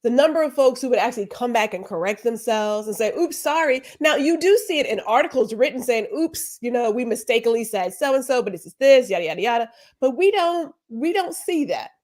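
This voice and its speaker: A woman with a somewhat high voice co-hosts a casual talk podcast. She speaks emphatically, works in rhetorical questions, and makes her points through vivid storytelling. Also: expressive, brisk, few pauses, darkish tone. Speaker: podcast co-host. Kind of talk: casual conversation.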